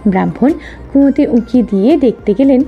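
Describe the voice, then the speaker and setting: A woman's voice: fairly high pitch, brisk, narrow, tinny audio. A woman narrating a scripted children's story as a storyteller. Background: soft background music.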